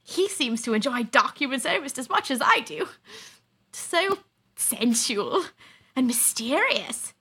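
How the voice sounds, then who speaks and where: A woman's voice: sits high, sing-song, a little slow, pushed and bright, breathy edge, exaggerated voice. A woman playing a pompous, easily offended English countess who is a ship's computer, theatrical and indignant in a comic audio drama. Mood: smitten, sultry. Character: haughty, easily offended bodiless ship-computer countess, snobbish and melodramatic.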